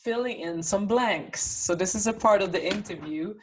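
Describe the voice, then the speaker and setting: A woman's voice: medium pitch, moderate pace, background noise. A woman in her twenties hosting an interview, asking questions in a friendly, giggly way. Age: 20s.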